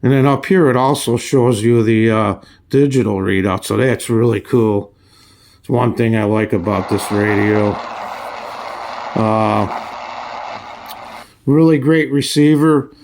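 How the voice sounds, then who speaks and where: A man giving a casual, hands-on review in a halting, folksy way, often restarting his phrases. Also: mid-range voice, a little mellow, low-quality recording. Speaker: radio reviewer. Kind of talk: casual hands-on product demonstration.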